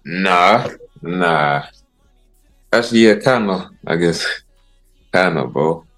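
A man from South London with a South London accent, a guest musician talking casually in a mellow, slang-filled way. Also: low-pitched, lively intonation, takes it slowly, soft background music, low-quality recording. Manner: mellow, mumbly, full of street slang. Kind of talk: casual interview chat.

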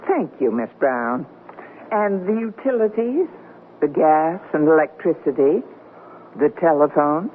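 Arch middle-aged wife, teasing, lowish voice that swings around, old tinny radio sound. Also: female voice, expressive, unhurried. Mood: polite, pointed. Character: mature, witty, self-assured newlywed wife, teasing. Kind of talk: radio comedy drama acting. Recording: tinny, noisy old radio broadcast recording.

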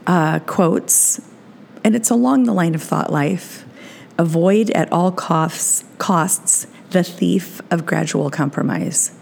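A woman hosting her weekly podcast, talking casually in an encouraging, rambling way, her voice gravelly and tired. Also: deep voice, darkish tone. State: exhausted. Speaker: podcast host. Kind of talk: casual solo podcast talk.

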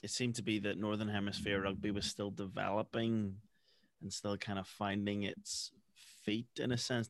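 Male podcast host, low voice, dry close sound, rambling questions. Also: dry recording. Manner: soft back-channel murmurs, rambling questions. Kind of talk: podcast interview.

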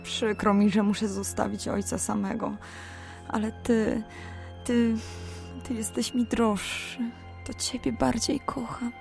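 A young woman in love in a historical radio drama. She is earnest and emotional, with a mellow voice that often creaks. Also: on the high side, level tone, unhurried, soft background music. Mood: resolved, tender. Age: young adult. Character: young woman in love, resentful of her controlling father.

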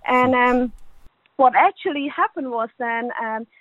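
A woman's voice: a little high, unhurried, no echo. Chatty woman on a thin phone-like line. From born in Hong Kong, grew up in Ireland. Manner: informal, chatty, peppers talk with "you know". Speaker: podcast guest, academic. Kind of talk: conversational interview, personal anecdotes.

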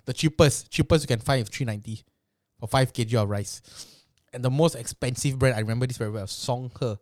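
A man's voice: medium pitch, brisk, darkish tone, no echo, clean studio sound. Rambling Singaporean man, casual podcast. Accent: Singaporean English. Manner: rambling with many restarts, mock-exasperated. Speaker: podcast co-host. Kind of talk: casual chat.

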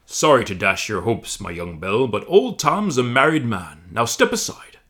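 A man voicing a huge, vain, jolly forest man who booms and sings his lines in a comic audio story. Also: big pitch swings, moderate pace, fairly bright tone. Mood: boastful. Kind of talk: comic audio fan fiction.

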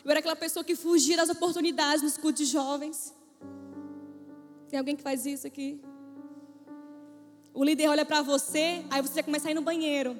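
A very high-pitched woman preaching in a big reverberant hall, faint music under her words. She tells her story playfully and acts out every voice she quotes, like a witty preacher addressing her congregation. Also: fairly even intonation, brisk, long pauses. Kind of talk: humorous testimony sermon.

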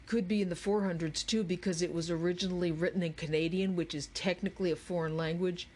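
A woman's voice: fairly low pitch, steady tone, quick pace, darkish tone, natural voice. Woman as a timid, bookish, pedantic boy. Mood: meek, pedantic. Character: timid, bookish, pedantic boy who knows library rules.

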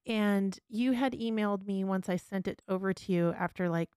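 A woman's voice: mid-range voice, moderate pace, a little mellow, smooth, no room sound, studio quality. A woman speaking candidly and earnestly in a conversational podcast interview, with emphatic personal storytelling as host.

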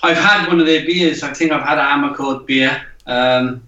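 A man's voice: on the high side, normal speed, sharp tone. Far-off, roomy-sounding hesitant man. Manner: hesitant, uncertain guessing. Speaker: quiz contestant. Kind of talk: casual banter. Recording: distant, roomy, lo-fi.

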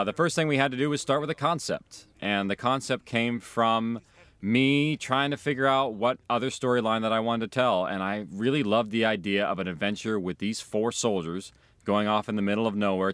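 A man's voice: on the low side, fairly quick, a bit bright, clear, resonant tone, no room sound. A man speaking in a documentary interview as a writer, director and creator of audio drama. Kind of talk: documentary interview about their own work.

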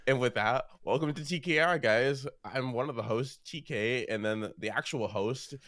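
A young man co-hosting a podcast in casual chat, slightly hoarse as he starts to lose his voice. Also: mid-range voice, moderate pace, no echo, studio quality. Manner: relaxed, rambling, laughs often. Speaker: podcast co-host.

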